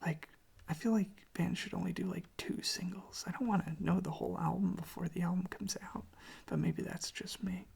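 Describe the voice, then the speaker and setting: An ASMR host speaking in a soft, breathy, husky whisper, rambling casually and gently in an informal, hushed way. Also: mid-range voice, fairly even intonation, brisk.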